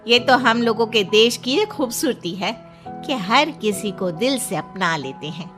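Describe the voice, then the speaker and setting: Lady doing coaxing auntie next door, cartoon story, unhurried and very lively, earnest and affectionate. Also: a little high, over music.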